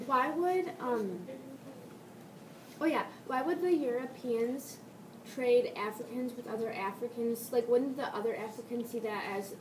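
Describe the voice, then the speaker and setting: Hesitant female student, far from the mic. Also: a little slow. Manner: hesitant, searching for words. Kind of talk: question in class. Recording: echoey classroom, low rumble, mic far off.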